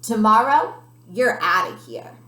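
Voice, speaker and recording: A woman voicing an earnest young child who narrates a picture-book story with expressive emphasis. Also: medium pitch, unhurried. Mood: firm. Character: earnest, dramatic young child telling a pet goldfish story. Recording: roomy, echoey sound.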